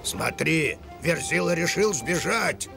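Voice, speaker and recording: An actor playing a dim, rough animal henchman of a villain in a cartoon. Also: fairly high pitch, expressive, slow, pushed and bright, soft background music, full character voice. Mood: gruff, taunting.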